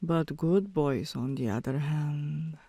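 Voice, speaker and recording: Slow, teasing dominant girlfriend, acted by a woman. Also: sits low, dark tone, breathy edge, no room sound, heavily acted. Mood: teasing, commanding. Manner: commanding yet coaxing, deliberate, drops into whispers. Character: dominant, teasing tomboy girlfriend, young adult woman, affectionate. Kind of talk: intimate ASMR girlfriend roleplay.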